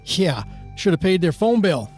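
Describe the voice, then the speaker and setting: A gruff, short-fused sheriff and father in an amateur radio play, acted by a man. His voice is pitched a little high for a man, stern and salty, with music playing behind it. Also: sing-song, a little slow, a little mellow. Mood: angry, sarcastic. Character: gruff, foul-mouthed, stern small-town sheriff and father.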